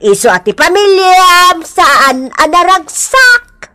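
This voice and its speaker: A woman's voice: very high-pitched, big pitch swings, sharp tone, exaggerated voice. A woman playing a nagging older village auntie in a radio comedy drama, scolding and insistent. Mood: insistent, exasperated. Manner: scolding, insistent, drawn-out questioning.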